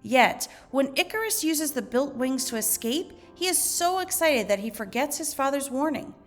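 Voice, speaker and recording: Female teacher, fairly high voice, measured instructional read-aloud with music behind. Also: animated. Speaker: teacher reading lesson aloud. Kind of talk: educational read-aloud narration.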